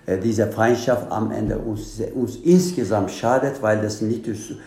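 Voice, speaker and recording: A man speaks earnestly as a panel guest, his German halting and full of restarts. His voice is low, dark and gravelly, heard in an echoey room. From Turkey. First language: Turkish. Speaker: guest, candidate for integration council. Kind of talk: panel discussion.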